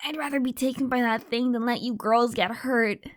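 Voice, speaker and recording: A woman's voice: on the high side, steady tone, normal speed, lightly acted. A woman voicing a young cartoon filly pony, frightened but earnest and insistent, in an audio drama. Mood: uneasy, pleading. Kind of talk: animated fan fiction audio drama acting.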